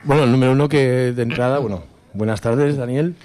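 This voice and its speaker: A man's voice: mid-range voice, brisk, a little mellow. A man from Spain co-hosting a radio show, asking the guest long, winding questions with fillers. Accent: Spanish. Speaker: radio co-host, interviewer. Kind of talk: radio interview.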